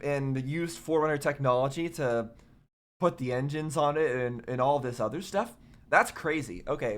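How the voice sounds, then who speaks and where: A man's voice: mid-range voice, fairly bright tone. A man giving casual, running reaction commentary on video game cutscenes.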